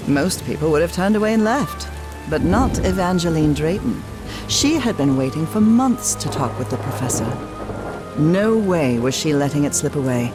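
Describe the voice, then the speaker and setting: A woman's voice: sits low, expressive, moderate pace, over music. A woman acting in a game as an affectionate, playful wife who also narrates with a wry touch. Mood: wry, storytelling. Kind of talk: dramatic game narration and dialogue.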